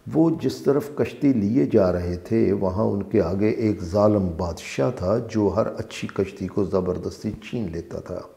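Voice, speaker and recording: Male narrator for scripture translation: dark, soft-edged tone with noticeable fry, deliberate and emphatic phrasing. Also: on the low side. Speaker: translator and reciter of a Quran translation. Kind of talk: scripted religious narration, read aloud.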